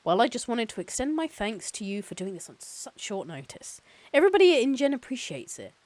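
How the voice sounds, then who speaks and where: A woman's voice: fairly high pitch, very animated, fairly quick, darkish tone, no room sound, voice pushed up. A woman voicing an eager, effusive male corporate lawyer on the phone as she reads a novel aloud. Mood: upbeat, polite. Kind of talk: novel read-aloud dialogue.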